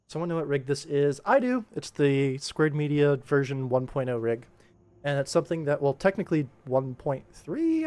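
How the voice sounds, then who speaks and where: A man's voice: on the high side, a little mellow. A man chatting casually as a livestream host while he works, with a dry, tongue-in-cheek sense of humour. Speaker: livestream host, animation director. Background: music.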